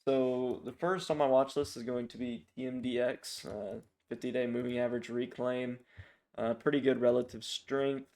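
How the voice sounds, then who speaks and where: Flat-toned man, raspy from a sore throat. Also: medium pitch, normal speed. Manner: informal, hesitant, thinking aloud. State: raspy, sick with strep throat. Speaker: stock trading channel host. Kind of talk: casual, unscripted stock watchlist commentary.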